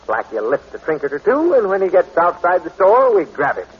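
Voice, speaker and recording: An actor playing a cocky, impatient, bossy police inspector in gloating 1940s radio style. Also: male voice, sits high, huge pitch swings, a little fast, husky, full character voice. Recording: dull 1940s radio recording.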